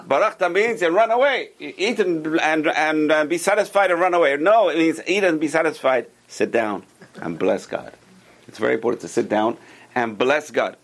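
Man teaching a class, higher voice. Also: moderate pace. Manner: explains step by step, repeats key points, questions the class. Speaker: Torah teacher. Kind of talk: informal class lecture with group discussion. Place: room with students around a table.

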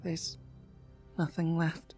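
Grieving young son, voiced by a woman: quiet, halting, slow, with a creaky edge. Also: deep voice, completely flat delivery, soft background music, exaggerated voice.

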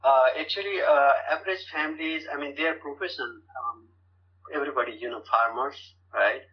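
Halting Nepali-accented man, muffled noisy audio. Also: fairly high pitch. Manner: halting, repeats words and often checks with "right" and "you know". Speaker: podcast guest. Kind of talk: interview answers. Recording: dull lo-fi audio with loud-ish background noise.

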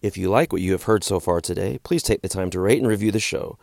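Deep, creaky-voiced male podcast host. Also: fast, darkish tone, no room sound, clean studio sound.

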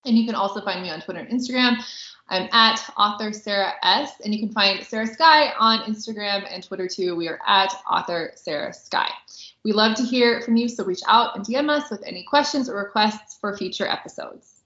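Female podcast co-host, sharp bright tone, mid pitch, emphatic informal chat, echoey and slightly dull recording. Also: fairly quick. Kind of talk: casual film discussion on a podcast.

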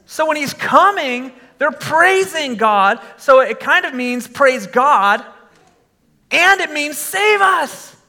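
A man preaching a sermon to a congregation, conversational with fillers, and breaking into animated shouts when he exclaims. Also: extremely high pitch, slow pace. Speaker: pastor.

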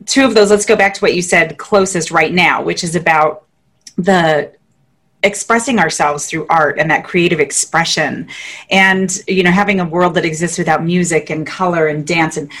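A woman's voice: lowish voice, steady tone, moderate pace, fairly bright tone. A woman hosting an interview, enthusiastic and affirming as she asks questions. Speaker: podcast host. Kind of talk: conversational interviewing.

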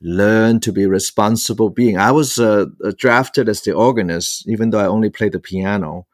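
A man's voice: fairly low pitch, no room sound, clean studio sound. A man in his sixties from Hong Kong tells his personal story as an interview guest, hesitating often as he searches for words. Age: 60s. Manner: halting, frequent long hesitations and repeated fillers while searching for words. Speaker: guest, priest and author.

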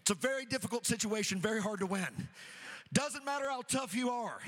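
Pastor guy preaching hard, really high voice, repeats stuff, keeps asking the church for amens. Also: lively intonation, brisk, fairly bright tone, in a large hall. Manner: preachy, repeats phrases rhythmically, exhorts the crowd. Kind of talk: energetic sermon with call-and-response.

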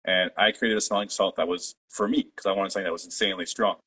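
Low husky-voiced man. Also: fast. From Canada. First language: Polish. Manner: steady, detailed storytelling. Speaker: guest, company founder. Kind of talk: interview.

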